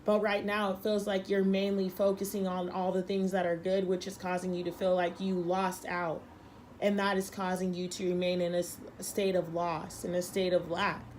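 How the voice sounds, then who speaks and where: Young woman reading tarot cards, bit hoarse and sick, earnest and repetitive, faint hiss behind. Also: fairly low pitch, flat delivery, normal speed, darkish tone. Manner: earnest, emphatic, repeats herself. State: losing her voice, a little sick. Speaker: tarot reader.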